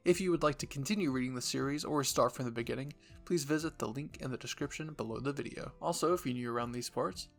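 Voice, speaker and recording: A man's voice: mid-range voice, vocal fry, a bit bright. A man narrating a scripted second-person story as the host of a roleplay channel.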